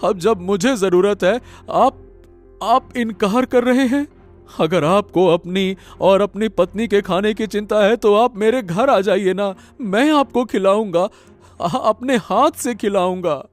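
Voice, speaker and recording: A man acts an earnest, troubled young farmer in a melodramatic cartoon story. His delivery is very slow and halting, pitched a bit high, and pleading. Light music runs underneath. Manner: halting, pleading, repeating words.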